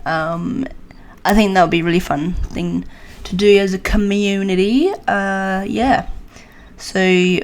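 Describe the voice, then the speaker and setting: A woman's voice: mid-range voice, steady tone, slow. A woman hosting a podcast, chatting casually and informally to her listeners in a hesitant way. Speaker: podcast host.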